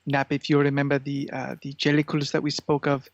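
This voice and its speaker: A man plays an imperious cat with a creaky, gravelly voice. He is pompous and grandiose, halting as he schemes, in an improvised comedy podcast with a dry, muffled sound. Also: mid-range voice, completely flat delivery, no room sound, natural voice. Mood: pompous lecturing. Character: imperious, scheming male cat plotting feline takeover.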